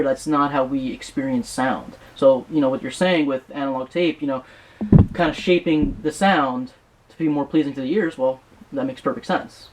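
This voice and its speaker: A man's voice: medium pitch, poor audio. A man working as an interviewer, talking and explaining in a casual, conversational way.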